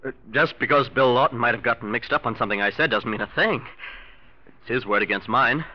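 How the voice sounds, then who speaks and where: An actor playing a scheming young nephew, nervous, defensive and arrogant, in a 1940s radio drama. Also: male voice, medium pitch, fairly quick, close to an everyday voice. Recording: muffled old radio recording.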